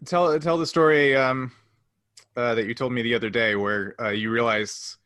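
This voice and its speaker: Guy podcast cohost, bright crisp-edged voice, mid pitch, casually working out his thoughts as he talks. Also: steady tone, normal speed, no echo, clean studio sound. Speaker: podcast co-host. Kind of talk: casual discussion.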